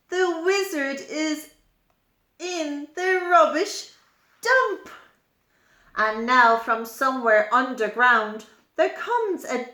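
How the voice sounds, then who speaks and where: A woman reading a children's story aloud in an expressive, deliberate, emphatic way, adding simple explanatory asides. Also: high-pitched. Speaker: storyteller at a special school. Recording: roomy, echoing indoor sound.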